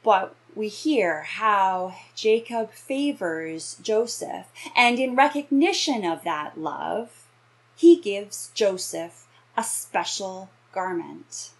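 A woman in her thirties or forties preaching an explanatory sermon in a measured way, pausing between phrases. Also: medium pitch, low-quality recording. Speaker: minister giving a reflection. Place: small indoor room with bookshelves.

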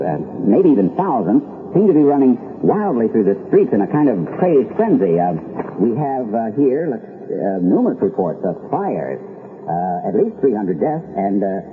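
A man's voice: mid-range voice, narrow, tinny audio, close to an everyday voice. A man acting as a flustered American local news anchor, hesitant and full of ums, giving an emergency radio newscast. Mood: shaken, urgent. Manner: halting, hesitant, many ums. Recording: band-limited vintage 1970s radio broadcast.

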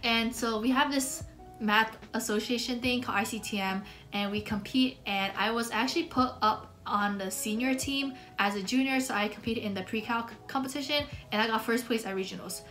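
A woman's voice: a little high, moderate pace, a bit bright, faint music behind. Chatty eighteen-year-old woman, light voice. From United States. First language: Mandarin. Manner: chatty, upbeat, many "like" fillers. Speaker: student vlogger. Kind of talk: casual talk to camera. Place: small bedroom, indoors.

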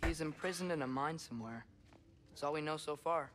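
A man's voice: a little high, fairly quick, background noise, close to an everyday voice. A man playing a curious, earnest teenage boy in a video game.

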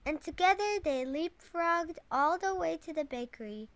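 A woman's voice: very high-pitched, lively intonation, normal speed, fairly bright tone, a little hiss or hum. A young girl reading a picture book aloud expressively, stumbling over words now and then. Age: child. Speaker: storytime host reading aloud to teddy bears.

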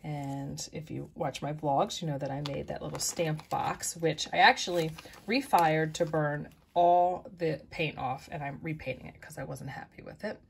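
A middle-aged woman chatting easily through her thrift and flea market finds, with soft asides. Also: sits low, moderate pace, creaky, slight background noise. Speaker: vlogger showing thrift finds. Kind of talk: casual show-and-tell narration. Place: indoors at a table, close-up of hands.

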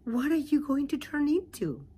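Tiny childlike pollywog, squeaky and wide-eyed, woman reader, storybook piece. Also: sing-song, moderate pace, mellow. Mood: excited. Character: small excitable pollywog, childlike and wondering.

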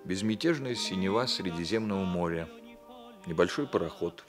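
A reserved captain from Milan in a Soviet radio drama. His voice is deep and measured, with few words wasted and a watchful composure. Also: lively intonation, music in the background. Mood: measured narration.

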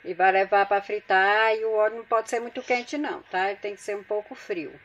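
A woman's voice: mid-range voice, fairly even intonation, a little fast, muffled recording. Chatty Brazilian woman cooking at home. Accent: Brazilian Portuguese. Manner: chatty, addresses viewers as gente. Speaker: cooking channel host. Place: home kitchen.

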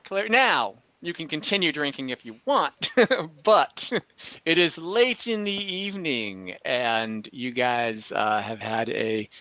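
A man running an online tabletop fantasy game as game master, narrating off the cuff in halting fragments. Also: medium pitch, animated, pushed and bright, no echo. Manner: halting, pieces scenes together in fragments. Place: indoors at home, on a group video call. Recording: slightly dull video-call audio.

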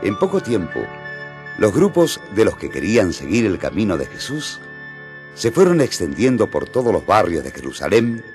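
An actor playing a solemn storyteller, narrating a radio drama with a Latin American accent. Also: male voice, fairly low pitch, huge pitch swings, moderate pace, darkish tone. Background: music.

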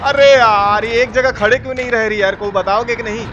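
A man with an eastern Indian Hindi accent giving casual, excitable, slangy gameplay commentary as a live-streamer over game sound. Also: extremely high pitch, a little fast, highs cut off. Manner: excitable, crude slangy exclamations. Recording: noisy game sound behind voice. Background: horror game sound.

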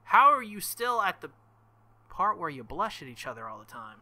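A young man commenting casually on a visual novel, with joking, crude, excitable asides. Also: high-pitched, moderate pace. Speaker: let's-play host.

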